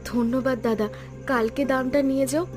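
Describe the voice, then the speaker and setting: A woman voices a young village wife in a cartoon folk drama. She speaks in a high voice, soft-hearted but troubled, reticent and pleading, with clear music behind her. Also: fairly even intonation, fairly quick, lightly acted. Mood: polite, uneasy. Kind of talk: Bengali cartoon drama acting.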